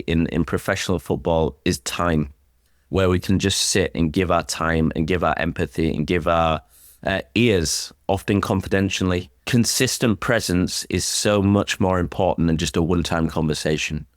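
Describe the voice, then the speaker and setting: A man speaking as a sports chaplain in an interview, explaining things in an earnest, reflective and measured way. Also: low-pitched, dry recording, studio quality.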